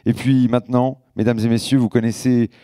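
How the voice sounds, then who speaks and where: Mellow-voiced man addressing a ceremony. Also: mid-range voice, little pitch movement, brisk, no echo, clean studio sound. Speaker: festival director presenting awards. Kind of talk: live stage address at an award ceremony.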